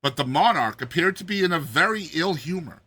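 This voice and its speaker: A man's voice: on the high side, animated, fairly quick, sharp tone, breathy edge. A man narrating a classic tale as a dramatized reading, theatrical and emphatic.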